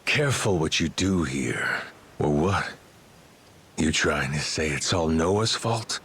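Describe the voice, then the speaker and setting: A man's voice: very deep voice, lively intonation, slow pace, a bit bright, slight background noise, full character voice. An actor playing a brash, cocky but sympathetic roguish man in a video game. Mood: defiant.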